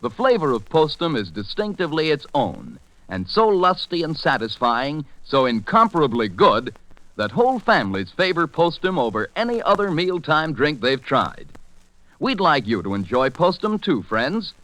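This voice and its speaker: A man's voice: on the high side, sing-song, moderate pace, fairly bright tone, no room sound, poor audio. A man announcing in a polished, emphatic 1940s radio style, reading scripted sponsor copy and introductions on a vintage broadcast.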